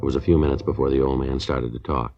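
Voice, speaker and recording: An actor playing a terse police detective, deadpan and matter-of-fact, in a 1950s radio crime drama. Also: male voice, very deep voice, quick pace. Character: terse, businesslike male police detective sergeant narrating. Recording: dull vintage radio recording.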